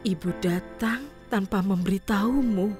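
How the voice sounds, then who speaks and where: A woman's voice: mid-range voice, measured pace, darkish tone, faint music behind, natural voice. A woman playing a tender, apologetic older mother. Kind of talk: audio drama acting.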